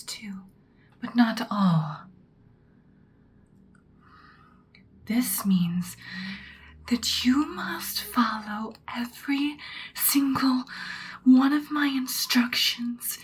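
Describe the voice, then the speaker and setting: A woman playing a commanding female vampire mentor in a whispered ASMR roleplay, speaking softly, breathily and deliberately. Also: fairly high pitch, lively intonation. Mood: hushed, intrigued. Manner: slow, deliberate, instructing, ominous. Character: ancient-seeming female vampire mentor, commanding, once ruthless, now good.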